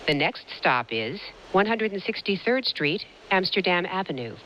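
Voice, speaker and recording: A woman with a low but bright, forceful voice delivers formal train announcements. Her phrasing is segmented and scripted, each part set out separately. A faint noise sits behind the recording. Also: big pitch swings, moderate pace, dull audio.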